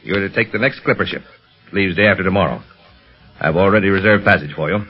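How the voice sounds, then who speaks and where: An actor playing a calm, authoritative police chief in a vintage radio drama, speaking in a measured, commanding way. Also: male voice, deep voice, slightly rough. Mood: firm. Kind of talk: 1930s radio acting. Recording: dull lo-fi old radio recording.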